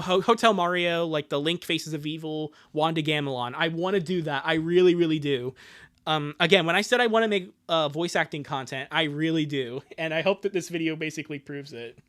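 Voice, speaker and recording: A man's voice: a little high, fairly bright tone. A man chatting casually on a livestream as an amateur impressionist, rambling and stammering with jokey asides. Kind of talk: casual livestream chat and reading aloud.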